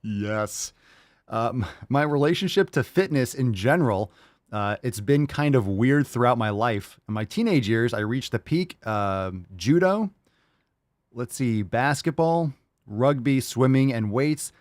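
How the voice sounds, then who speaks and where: A man's voice: mid-range voice, lively intonation, measured pace, dry recording, studio quality. Hyped, foul-mouthed podcast host man. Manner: hyped, profane, encouraging. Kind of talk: casual podcast chat reading listener posts.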